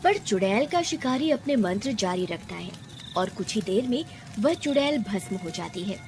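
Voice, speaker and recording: A woman's voice: medium pitch, animated, normal speed. Woman narrating a storybook tale over music. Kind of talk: scripted moral-story narration.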